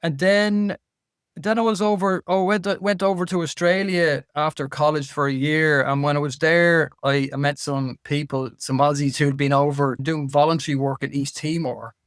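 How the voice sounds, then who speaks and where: A man's voice: a little high, steady tone, moderate pace, smooth, no room sound. Irish man, restarting, dry mic. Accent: Irish, Dublin. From Ireland. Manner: rambling with many restarts and fillers. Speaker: guest, Irish language campaigner. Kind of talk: casual interview talk.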